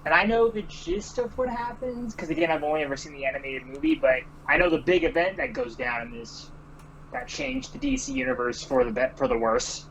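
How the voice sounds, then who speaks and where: Lively, animated male co-host. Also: a little high, brisk, gravelly, a little hiss or hum, poor audio. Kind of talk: casual opinionated chat.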